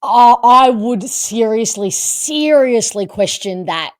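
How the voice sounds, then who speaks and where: A woman's voice: fairly high pitch, expressive, slow pace, no echo, clean studio sound. A woman hosting a podcast, chatting casually and emphatically about sport and repeating words for emphasis. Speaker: podcast host.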